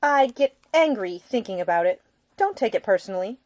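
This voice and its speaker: A woman's voice: medium pitch, animated, fairly quick, a little mellow, dry recording, voice pushed up, lightly acted. A woman voicing an outspoken, slightly wounded young Victorian lady in a storybook reading. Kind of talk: acted audiobook dialogue.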